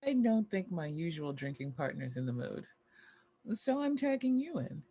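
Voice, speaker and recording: A woman acting a jaded, hard-drinking former child star in a screenplay table read, with a husky voice and a dry, world-weary delivery. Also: sits low, very animated, normal speed, dark tone, heavily acted. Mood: wry, inviting.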